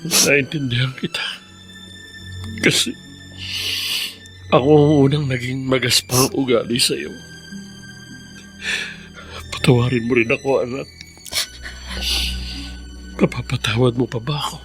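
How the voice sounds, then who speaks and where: A man's voice: medium pitch, steady tone, a bit bright. Remorseful Filipino father, music underneath. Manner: halting, earnest, emotional. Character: remorseful adult father, troubled by a family secret. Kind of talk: Filipino radio drama acting.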